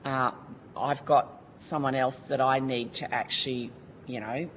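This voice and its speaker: A woman's voice: very deep voice, steady tone, measured pace, a little hiss or hum, low-quality recording. An Australian woman giving earnest, colloquial testimony at a Senate committee hearing. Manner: earnest, colloquial, restarts often. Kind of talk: formal testimony.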